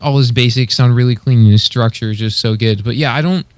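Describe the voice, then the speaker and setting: A man's voice: medium pitch, fast, dry recording. A man co-hosting a podcast in casual chat, hesitantly searching for words as he explains his opinions. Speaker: podcast co-host.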